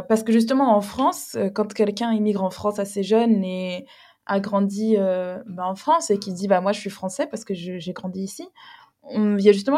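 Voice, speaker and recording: A woman leads a relaxed interview. She asks questions, listens closely and keeps up a steady stream of short agreeing replies. Her voice sits at a middle pitch. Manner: attentive, frequent short backchannels. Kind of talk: casual interview.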